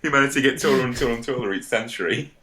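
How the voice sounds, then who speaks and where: A man's voice: medium pitch, very fast, sharp tone, a little hiss or hum. A man co-hosting a podcast in casual banter. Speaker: podcast co-host.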